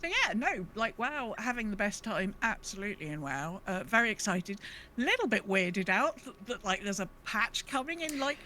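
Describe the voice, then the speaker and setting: Female podcast co-host, bright forward voice, animated casual chat, faint noise behind. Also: medium pitch, normal speed. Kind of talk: casual chat about an online game.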